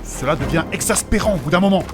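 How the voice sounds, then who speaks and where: A man's voice: a little high, expressive, fast, music in the background, lightly acted. A man playing a fussy, polite, pedantic mage in a comic fantasy audio drama, speaking in a formal, prim manner. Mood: exasperated.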